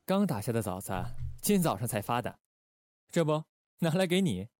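An actor playing a suave, calculating male intelligence station chief in a Republican-era spy radio drama, speaking in a smooth, measured, teasing manner. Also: fairly high pitch, sing-song, darkish tone, dry recording, clean studio sound.

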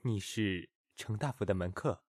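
A man's voice: on the low side, moderate pace, a little mellow, dry recording, studio quality, close to an everyday voice. A man playing a guarded, courteous young musician of ancient China in a period audio drama, restrained and formal. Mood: guarded, polite.

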